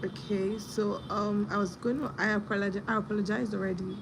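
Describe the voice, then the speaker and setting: A young woman hosting a casual live stream, husky from a sore throat, telling a story and greeting viewers by name. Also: medium pitch, little pitch movement, fairly quick, noisy background.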